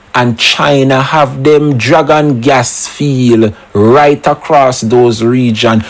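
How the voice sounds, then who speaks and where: A man giving opinionated solo commentary, full of rhetorical questions and emphatic asides. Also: on the high side. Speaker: commentary channel host.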